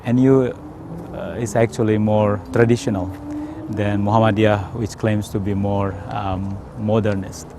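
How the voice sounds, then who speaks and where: A man from Indonesia with an Indonesian accent, telling his personal story in a hesitant, careful way with frequent pauses. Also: lowish voice, level tone, background noise. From Indonesia, South Sumatra. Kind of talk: unscripted personal testimony.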